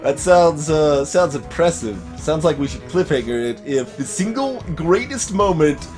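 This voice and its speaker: Expressive man doing casual game commentary. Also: fairly high pitch, measured pace, faint music behind. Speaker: let's play host.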